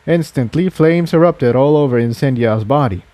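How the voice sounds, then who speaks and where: A man reading an adventure story aloud as an expressive scripted narrator. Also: medium pitch, normal speed, no room sound. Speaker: fan fiction reader.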